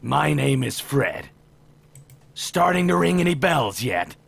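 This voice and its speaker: A man playing a gruff, exasperated but friendly young workshop boss in a visual novel, with a gravelly, husky voice. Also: mid-range voice, big pitch swings, slow, dry recording, full character voice. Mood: wry, friendly. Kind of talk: visual novel character acting.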